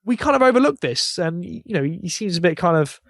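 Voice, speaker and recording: A man's voice: sits high, sing-song, fairly quick, dry recording, studio quality. A man speaking as a podcast guest in an eager, rambling, conversational fan style. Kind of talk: casual chat.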